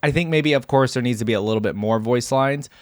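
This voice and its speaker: A man's voice: medium pitch, fast, no echo, studio quality. A young man giving casual reaction commentary, thinking aloud and addressing viewers in a musing, tentative way. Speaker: reaction video host.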